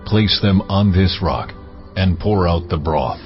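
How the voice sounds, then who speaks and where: An actor playing the solemn, commanding voice of God in a dramatized scripture recording, speaking deliberately and with authority. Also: male voice, deep voice, lively intonation. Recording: muffled audio with music underneath.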